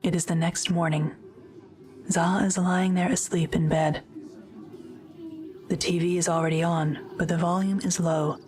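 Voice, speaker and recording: A woman narrating a scripted audio drama in a matter-of-fact, measured way. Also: lowish voice, level tone, a little mellow.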